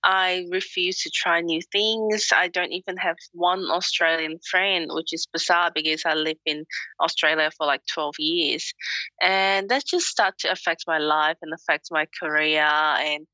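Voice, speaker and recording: A woman's voice: low-pitched, fairly even intonation, unhurried, bright, forceful tone, dry recording, highs cut off. A woman in her thirties from Hong Kong, with a Hong Kong accent, answering interview questions in a frank, chatty way and laughing often. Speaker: author and guest.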